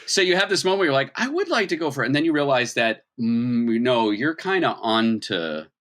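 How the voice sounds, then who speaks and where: A man's voice: medium pitch, sing-song, brisk, a bit bright. A man narrating an improvised tabletop role-playing game as game master, in a conversational way and acting out reactions.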